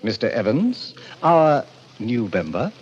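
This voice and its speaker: A suave gentleman villain from a 1940s radio thriller, formal and ceremonious, with hugely swooping intonation. He presides over his club with hypnotic courtesy, heard through a dull, noisy old recording. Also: medium pitch, very animated, slow pace. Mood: smoothly formal. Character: polished, sinister gentleman president of a deadly card club.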